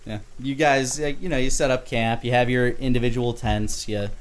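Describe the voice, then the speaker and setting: A man's voice: medium pitch, steady tone, moderate pace, clear, resonant tone. A man narrating a tabletop game as game master in a casual, conversational, improvised way. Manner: conversational, easygoing.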